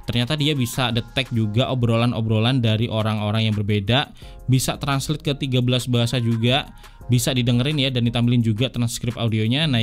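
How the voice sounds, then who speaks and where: A man with a Jakarta Indonesian accent narrating a tech review in a casual, conversational way, explaining things to viewers as friends. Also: medium pitch. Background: background music.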